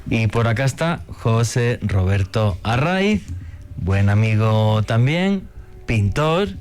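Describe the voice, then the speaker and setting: A man's voice: lowish voice, slow pace. A man hosting a casual radio chat, talking with enthusiasm and slang. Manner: enthusiastic, slangy, animated storytelling. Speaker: radio host.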